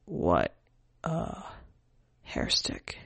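A woman reader puts on a dazed old man from a dark storybook. He speaks low and slowly, halting and breathy, as if lost in a dream. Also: huge pitch swings, dry recording, heavily acted. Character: dazed, lustful old man under a spell.

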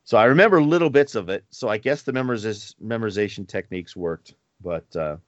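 A man in his forties talks in a low register, wandering through casual asides and chuckling as he goes. The sound is dry and close, with a slightly muffled, lo-fi quality to the recording. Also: fairly quick, no room sound. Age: 40s. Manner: rambling, chuckles between asides. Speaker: podcast co-host. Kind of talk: casual chat.

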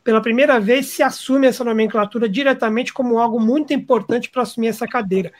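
A woman's voice: a little high, fast. A woman with a Brazilian accent hosting a political discussion, putting questions to the panel. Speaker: host.

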